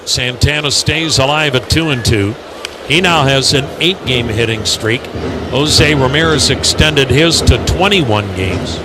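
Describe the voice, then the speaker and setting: A man calling live baseball play-by-play on the radio in measured, clipped phrases. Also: medium pitch. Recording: loud ballpark noise behind.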